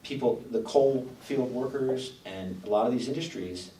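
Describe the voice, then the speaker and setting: A man's voice: medium pitch, moderate pace, mellow, far from the mic. A man speaking earnestly about policy on a panel show, pressing his points with emphasis. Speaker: panel guest. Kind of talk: policy discussion. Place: TV studio. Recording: roomy studio heard from off-mic, faint noise.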